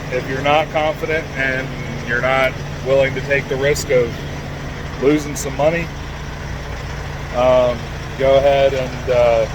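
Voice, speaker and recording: A man giving a casual, unscripted how-to vlog in a halting, rambling, self-deprecating and plain-spoken way, recorded in and around a truck. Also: mid-range voice, background noise. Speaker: amateur DIY video maker showing his truck radio setup.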